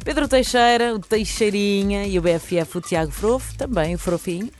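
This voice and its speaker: A woman's voice: medium pitch, normal speed, darkish tone. A woman speaks in a European Portuguese accent with a mid-range voice, presenting casual radio chat. She is playful and teasing, and music plays faintly behind her. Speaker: radio co-host.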